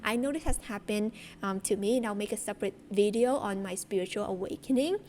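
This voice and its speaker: A woman's voice: medium pitch, fairly quick, darkish tone, a little hiss or hum. A young woman from Vietnam, chatting enthusiastically to her viewers about spirituality, with plenty of fillers. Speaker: spiritual content creator. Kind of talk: casual chat to viewers.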